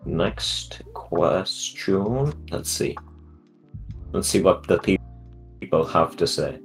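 Super deep voiced dude hosting a chill podcast, jokey, stumbles a lot. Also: male voice, expressive, vocal fry, faint music behind. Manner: joking, self-deprecating, halting. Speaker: podcast host. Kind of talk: casual chat.

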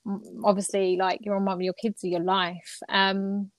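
A woman's voice: medium pitch, flat delivery, moderate pace, no echo. A woman, chatting casually as a podcast guest, full of ums and likes. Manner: chatty, filler-heavy, laughs easily. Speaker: podcast guest, mother of two. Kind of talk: casual interview chat.

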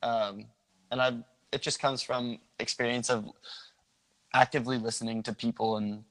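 A young man from the Philadelphia area talks in an easygoing, informal way, often pausing on "um." His pitch barely moves and stays fairly even from phrase to phrase. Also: poor audio. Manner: relaxed, informal, many ums. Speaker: guest sharing a meet-people project. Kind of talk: casual interview chat.

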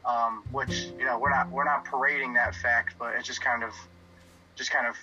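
A man's voice: medium pitch, little pitch movement, moderate pace, dull audio, over music. A man co-hosting a podcast over a video call, chatting casually and rambling with many restarts and fillers. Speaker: podcast co-host.

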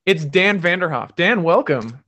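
A man's voice: high voice, expressive, unhurried, pushed and bright. A man hosting a podcast, talking loosely with lots of fillers and restarts. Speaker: podcast host. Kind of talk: casual podcast chat.

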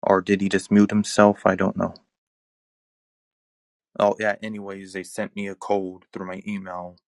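A man's voice: low-pitched, completely flat delivery, normal speed, creaky, no echo, studio quality. A man in his twenties hosting a casual chat on a live audio app, explaining things in an easygoing, rambling way. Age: 20s. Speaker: host.

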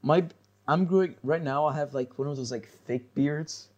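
A man's voice: fairly high pitch, moderate pace, darkish tone, lo-fi sound. A teenage boy co-hosting a casual podcast chat, rambling with plenty of fillers and restarts. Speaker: podcast co-host.

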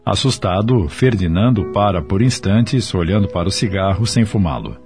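Guy narrating a radio soap, lowish voice, measured and dramatic, music clearly behind him. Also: expressive, a little mellow. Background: music in the background.

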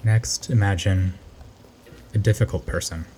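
Male meditation narrator, low register and warm dark tone, gentle and unhurried delivery, faint noise behind the voice. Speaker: meditation guide.

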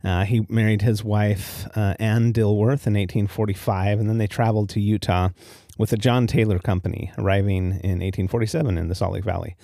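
A man's voice: lowish voice, fairly even intonation, brisk, creaky, warm tone, dry recording, studio quality. An American man hosting a podcast alone, telling stories in a casual, easygoing way and given to chuckling at his own remarks. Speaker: podcast host. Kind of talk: casual solo storytelling podcast.

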